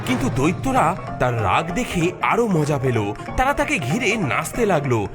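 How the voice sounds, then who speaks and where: A man's voice: a little high, wildly swooping pitch, moderate pace. A man narrating a scripted cartoon story in a dramatic style. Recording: loud music mixed under the narration.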